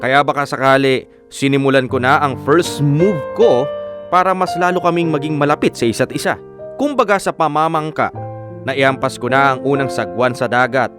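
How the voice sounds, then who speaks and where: An actor plays an earnest young Filipino man telling his own love story for a radio drama. His narration is sincere and measured, at a medium pitch. Clear plucked guitar music plays behind him. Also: natural voice. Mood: hopeful, lovestruck. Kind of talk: scripted first-person radio drama narration.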